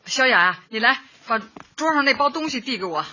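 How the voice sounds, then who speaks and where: A sharp, forceful elderly woman with a husky voice, a cheerful and decisive community worker in a radio drama. She talks in a brisk, homely way, repeating herself for emphasis. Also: high voice, animated, heavily acted. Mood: homely, contented. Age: older. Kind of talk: Chinese radio drama acting. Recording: dull, lo-fi audio with faint music.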